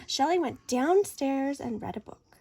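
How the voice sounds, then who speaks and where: A high-voiced woman reads a children's story aloud. Her delivery is animated and theatrical, with wide swings in pitch and deliberate dramatic phrasing. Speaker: story reader. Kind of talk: expressive children's picture-book read-aloud.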